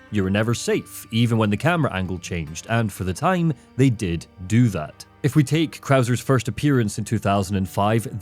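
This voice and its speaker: A man's voice: medium pitch, darkish tone. A man giving scripted video essay narration in a wry, opinionated way.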